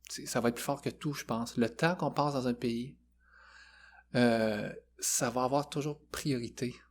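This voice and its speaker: A man's voice: medium pitch, a little slow, a little hiss or hum. A man in his forties with a Quebec French accent, a musician talking thoughtfully as a podcast guest, with frequent hesitations. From Quebec, Canada. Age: 40s. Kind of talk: casual conversation.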